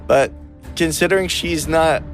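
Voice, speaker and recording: A man's voice: a little high, unhurried. A man acting as game master, running a tabletop game in an informal, conversational way. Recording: faint music bed.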